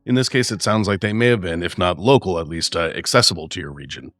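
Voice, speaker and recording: A man co-hosting a podcast, offering his analysis conversationally in measured, tentative phrases. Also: deep voice, lively intonation, a bit bright, dry recording, faint music behind. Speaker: podcast co-host.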